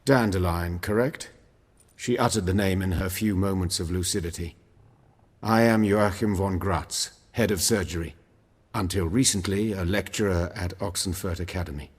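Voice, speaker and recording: An actor playing an erudite, steely surgeon in a video game, speaking formally and precisely in a husky low voice. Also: male voice, moderate pace, big-room sound. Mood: clinical, formal. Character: composed, erudite male surgeon with steely resolve.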